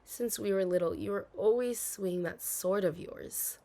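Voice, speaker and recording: A woman's voice: medium pitch, moderate pace, vocal fry, mellow, lightly acted. A woman playing a dutiful, anxious young princess in an intimate audio roleplay, speaking formally with hesitations and sighs. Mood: bright, defensive. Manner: formal storybook diction, hesitant, sighing.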